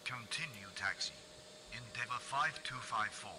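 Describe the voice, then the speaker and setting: A man's voice: mid-range voice, measured pace. Noisy radio man voicing a male airline pilot. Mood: procedural, flat. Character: male airline pilot reading back clearances. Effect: cockpit radio. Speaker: pilot answering air traffic control. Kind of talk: clipped radio readback. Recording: very noisy aircraft radio transmission.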